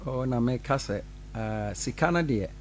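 A middle-aged man, a pastor from Ghana, telling his side in an animated radio studio interview. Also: medium pitch, moderate pace, slight background noise. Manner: animated, gestures while talking, laughs often. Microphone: studio mic on boom arm.

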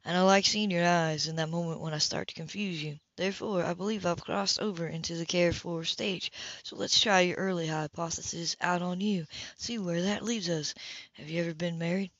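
A woman voicing a flirtatious, smooth-talking man in his early thirties, teasing and persistent, in an audiobook romance. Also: fairly low pitch, fairly even intonation, normal speed, a bit bright, no room sound, lo-fi sound, natural voice. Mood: seductive.

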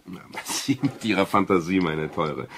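An actor playing a wry, courteous private detective who narrates a 1970s radio crime drama. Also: male voice, low voice, expressive, normal speed, a bit bright, a little hiss or hum. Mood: wry, teasing. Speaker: detective narrator and lead character.

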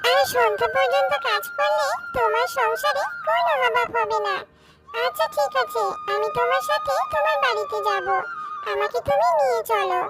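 A woman's voice: very high-pitched, sing-song, normal speed, poor audio. A woman voicing a sweet, helpful, childlike magical cartoon creature in a children's fairy tale, speaking simply and earnestly. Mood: kind, helpful. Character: childlike magical talking jackfruit and little fox girl, sweet, helpful. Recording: loud tinkling children's music behind.

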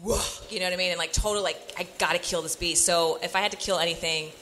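A young woman with a fairly low but bright voice tells stories on a panel. She is animated and fills her speech with "like". She speaks into a handheld microphone in an indoor room. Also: fast, a little hiss or hum. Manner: animated storyteller with many fillers. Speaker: stage and voice actor on a panel. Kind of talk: casual panel storytelling.

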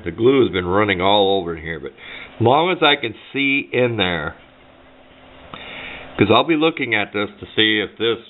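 A man giving a casual, unscripted how-to at a workbench, talking in a plain, rambling, folksy way. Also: sits low. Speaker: hobbyist demonstrating a homemade build. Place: indoors at a workbench. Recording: muffled, narrow phone-like camera audio.

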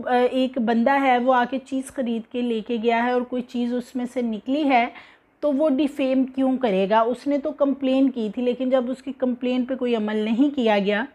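A young woman with a Pakistani Urdu accent delivers news commentary in a conversational way, full of fillers and indignation. Her voice sits a little high. She talks to the camera from a home living room. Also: dark tone. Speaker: news presenter. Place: indoor living room with TV behind.

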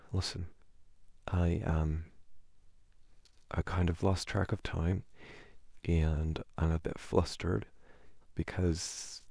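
Flustered, affectionate boyfriend played by a man for ASMR audio roleplay: hesitant, creaky, close and dry. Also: deep voice, darkish tone, dry recording, heavily acted. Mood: flustered, embarrassed. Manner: hesitant, halting, wordy.

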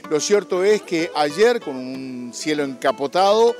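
A man's voice: a little high, measured pace. Guy reporting from a cattle show outside, handheld mic, faint music behind. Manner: lively presenter greeting, then quiet interview questions. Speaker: agricultural TV reporter and interviewer. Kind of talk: field reporting and interviewing. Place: outdoor livestock show grounds.

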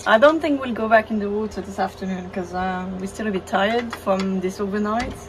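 A woman in her thirties chatting casually on a sailboat, relaxed and laughing easily. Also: mid-range voice, a little fast. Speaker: sailing vlogger. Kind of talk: casual chat. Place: outdoors on a sailboat at sea. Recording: noisy outdoor camera audio.

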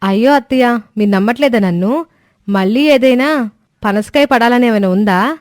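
A woman's voice: a little high, moderate pace, a little mellow, close to an everyday voice. A woman playing an eager, boastful and scheming young daughter-in-law in a cartoon moral story.

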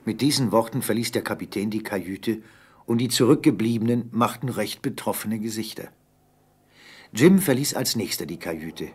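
A man with a husky voice narrating a scripted German radio play. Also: on the low side, animated, moderate pace.

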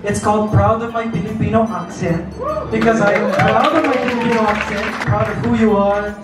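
A man's voice: sits high, fairly even intonation, brisk. A man in his thirties from Canada, performing and chatting playfully with a party crowd on stage. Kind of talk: emceeing and singing at a party. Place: large indoor event hall with a crowd. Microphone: handheld mic, filmed from the back of the hall. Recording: echoey hall from far off with loud music.

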